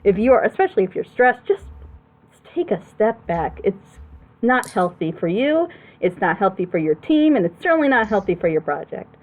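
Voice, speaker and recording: A woman hosting a group reaction show, chatting casually and giving rambling, encouraging advice in an animated way over an online voice call. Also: medium pitch, moderate pace, phone-quality sound. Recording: thin, band-limited voice chat audio.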